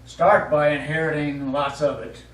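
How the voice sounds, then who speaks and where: An actor playing a cautious, polite, wealthy gentleman in a stage play, halting and reserved as he speaks. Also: on the high side, little pitch movement, close to an everyday voice. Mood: measured. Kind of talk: live stage play acting. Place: small indoor theater studio. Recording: echoey stage recording from far off, faint noise.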